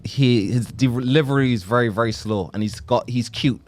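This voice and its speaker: A man co-hosting a comedy podcast, chipping in with teasing, quick banter. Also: medium pitch, darkish tone. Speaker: podcast co-host. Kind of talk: casual comedy podcast chat.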